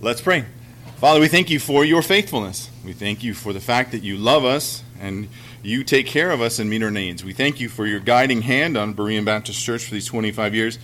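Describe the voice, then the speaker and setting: A pastor leading an earnest, steady opening prayer in a church sanctuary. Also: male voice, mid-range voice, fairly quick, a little hiss or hum.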